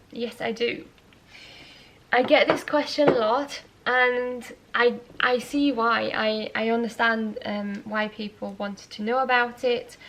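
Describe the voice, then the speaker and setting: A woman from Austria speaks English with a Yorkshire-tinged accent picked up from a partner. Her voice sits a touch high and she talks slowly. She pauses often and fills the gaps with "uh" and little laughs. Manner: slow, thoughtful, with fillers and soft laughs. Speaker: knitting vlogger. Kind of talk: casual Q&A vlog.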